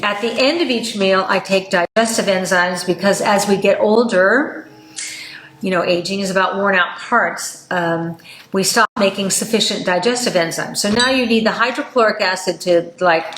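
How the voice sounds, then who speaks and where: An older woman hosting a podcast, talking in a chatty, animated way with many fillers. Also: mid-range voice, normal speed. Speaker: podcast host. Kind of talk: casual health talk. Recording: echoey room with faint noise.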